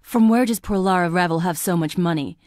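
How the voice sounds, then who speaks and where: A woman's voice: on the low side, fairly quick, a little mellow, no room sound, studio quality, close to an everyday voice. An actress playing a frail, melancholy young woman in a plague-stricken town, delivering dramatic video game lines in a wistful, languid, theatrical way. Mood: earnest, uneasy.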